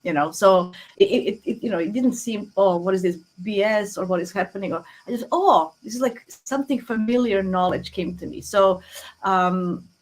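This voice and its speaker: A woman's voice: medium pitch, normal speed, low-quality recording. A woman in her forties with a Croatian accent, talking casually as an interview guest, often repeating words and restarting, over a phone on a video call. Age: 40s. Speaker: guest astrologer. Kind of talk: casual interview talk, telling personal story. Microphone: phone app on a video call.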